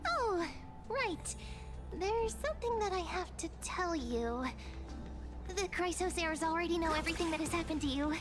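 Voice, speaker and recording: A tiny fairy-like game companion with an extremely high, cute voice. The creature speaks in an eager, childlike way, earnest and caring, with music playing underneath. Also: female voice, animated, normal speed. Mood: anxious, apologetic. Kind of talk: video game voice acting.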